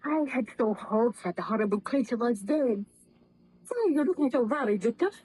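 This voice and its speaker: An actress playing a devoted, anxious wife in an old-time radio horror drama, with an earnest, melodramatic delivery. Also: female voice, a little high, expressive, moderate pace, dark tone, breathy edge, low-quality recording. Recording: vintage radio drama recording.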